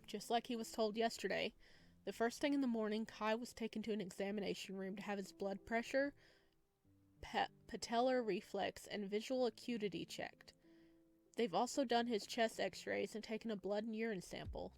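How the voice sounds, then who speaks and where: A woman's voice: medium pitch, fairly even intonation, normal speed, creaky, darkish tone. A woman doing a let's play, reading game text aloud and adding casual reactions. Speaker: host playing and reading a visual novel. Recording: music behind the voice. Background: background music.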